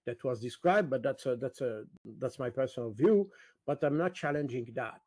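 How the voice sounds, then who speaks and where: A man's voice: medium pitch, lively intonation, a little slow, darkish tone, dry recording. A man from Switzerland speaking as an interview guest, explaining conversationally and hesitantly, repeating his words.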